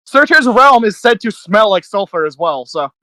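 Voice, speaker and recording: A man's voice: high-pitched, very animated, moderate pace, sharp tone, no echo. A man chatting casually as a player in a tabletop role-playing game.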